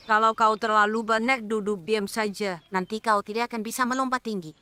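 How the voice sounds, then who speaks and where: A gently scolding cartoon granny. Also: female voice, a little high, fairly even intonation, brisk, smooth. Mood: firm. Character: caring grandmother, gently firm. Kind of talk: dubbed cartoon acting.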